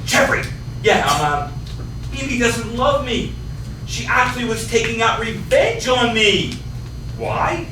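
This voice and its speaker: A man's voice: extremely high pitch, animated, measured pace. A man playing a hapless, bewildered boyfriend in a staged script reading. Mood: deflated. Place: small theatre stage, distant camera. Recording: very echoey theatre, distant, noisy.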